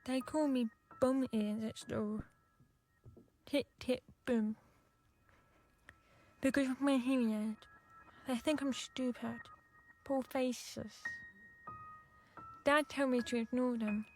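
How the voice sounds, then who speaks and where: An actress playing a frustrated, defiant young deaf woman in a radio drama, plainly confiding and quick to flare up. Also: high-pitched, fairly even intonation, a little mellow, faint music behind. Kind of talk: radio drama acting and first-person narration.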